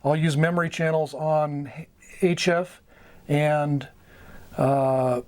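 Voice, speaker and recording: A middle-aged American man giving an informal instructional talk, going item by item in short, halting phrases. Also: on the high side, fairly even intonation, darkish tone, no echo. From United States. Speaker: amateur radio mentor, video host. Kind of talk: informal instructional explanation at a whiteboard. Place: small wood-panelled room indoors.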